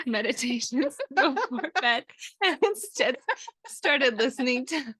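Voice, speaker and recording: A woman's voice: sits high, animated, slow, a bit bright, husky, dry recording. A woman co-hosting a podcast in an informal, conversational way. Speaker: podcast co-host. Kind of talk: casual chat.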